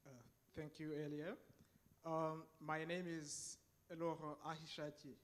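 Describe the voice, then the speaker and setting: A man's voice: fairly high pitch, flat delivery, a little slow. A man from Rwanda introducing himself at a conference with a handheld mic, brief and plain. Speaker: conference presenter. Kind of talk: formal self-introduction.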